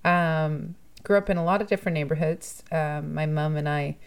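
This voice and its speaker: A woman from Toronto talks through her ideas in a relaxed interview. Her voice sits fairly low, and she muses aloud in a chatty, thoughtful way, with easy laughs breaking in now and then. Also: moderate pace, clear, resonant tone. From Toronto, Canada. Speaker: musician and guest. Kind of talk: casual podcast interview.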